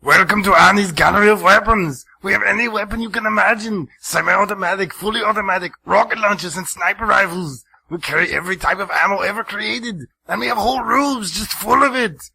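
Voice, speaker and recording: A man voicing a loud, pushy weapons-shop pitchman in a spoof radio ad, delivering hard-sell patter. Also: a little fast, creaky, few pauses, breathy edge, full character voice.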